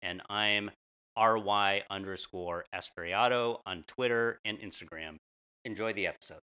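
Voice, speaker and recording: Bright, clear American male podcast co-host. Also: on the low side, normal speed. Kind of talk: scripted podcast introduction.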